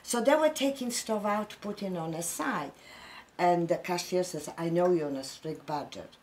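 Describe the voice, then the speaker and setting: A woman's voice: on the low side, normal speed, darkish tone, slight background noise. A middle-aged woman from Poland with a Polish accent, hosting a casual spiritual chat and telling stories earnestly and emphatically.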